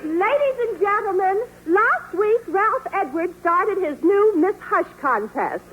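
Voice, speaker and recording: A woman who is a regular on a 1940s radio comedy show, delivering deadpan, naive quips. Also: extremely high pitch, slow, soft background music. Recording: tinny old radio broadcast.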